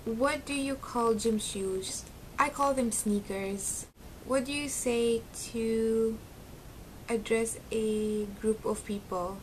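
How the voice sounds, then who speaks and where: A woman's voice: fairly high pitch, steady tone, slow, slight background noise, rough recording. A young woman with a slight Arabic accent, chatting casually and giggling to camera from her bedroom, with plenty of ums. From Syria. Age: young adult. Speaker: beauty vlogger. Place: small bedroom at home, indoors. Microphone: close webcam, seated facing camera.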